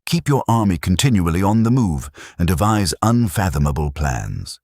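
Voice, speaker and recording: A man narrating an audiobook of a classic treatise in measured, deliberate phrases. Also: deep voice, very animated, a little mellow, no room sound, clean studio sound. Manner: measured, declarative delivery in short deliberate phrases.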